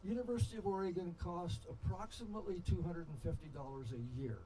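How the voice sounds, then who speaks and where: Older man, American accent, fairly high pitch, digressive live storyteller, halting delivery, clear background noise. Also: dark tone. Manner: impassioned, digressive, halting, salty-tongued. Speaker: storyteller and speaker at a festival. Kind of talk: rambling live storytelling to an audience. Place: live storytelling session at a festival. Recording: noisy live event ambience.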